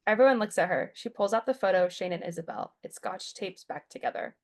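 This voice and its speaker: A woman's voice: mid-range voice, animated, quick pace. A woman giving scripted narration, reading stage directions at a table read.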